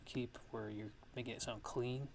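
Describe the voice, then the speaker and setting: A man giving a casual, hushed ASMR-style software tutorial, explaining things in a halting, unscripted way. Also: mid-range voice, steady tone, vocal fry, background noise. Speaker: music production tutorial host.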